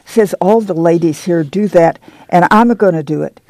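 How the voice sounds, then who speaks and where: A woman reading aloud voices an excited young pregnant farm girl who gushes in a rural drawl, raising her voice a little. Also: on the low side, no echo, close to an everyday voice. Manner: gushing, rapid rural drawl.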